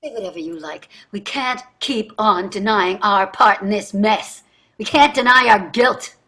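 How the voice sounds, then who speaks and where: An actress playing a wealthy, anxious, combative wife in a staged play reading, speaking with earnest, exasperated emphasis. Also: female voice, medium pitch, measured pace, bright, forceful tone. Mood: accusing.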